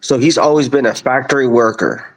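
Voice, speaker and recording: Male podcast guest, fifties, medium pitch, casual storytelling, deliberate and emphatic phrasing. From born in Colombia, raised in Kansas, USA. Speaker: podcast guest, lawn business owner.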